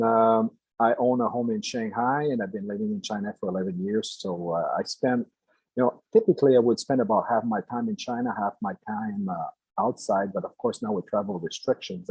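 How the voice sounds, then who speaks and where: Older quebec guy, french first language, lecturing, lots of um and restarts, soft husky tone. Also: mid-range voice, no echo, low-quality recording. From Quebec, Canada. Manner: halting, with many restarts and fillers. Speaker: guest lecturer. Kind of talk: lecture to a class.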